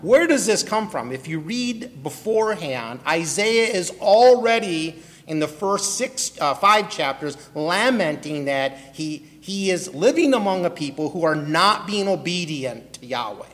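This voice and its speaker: A man's voice: fairly high pitch, lively intonation, unhurried, few pauses, a bit bright. A man from Milwaukee preaching a sermon with a personal testimony, putting questions to the listeners and calling on them by name. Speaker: pastor. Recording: long hall reverb.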